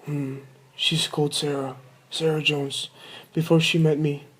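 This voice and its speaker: A man's voice: fairly high pitch, flat delivery, a little slow, fairly bright tone, breathy edge. A man playing a forgetful, grumpy yet doting old grandfather in a radio play, muttering and repeating himself. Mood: fond.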